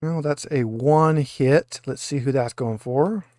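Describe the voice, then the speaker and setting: A man with a medium-pitched voice works as game master for a tabletop session. He narrates and calls out rules casually, and the sound is dry with no room in it. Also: slow, mellow, no room sound.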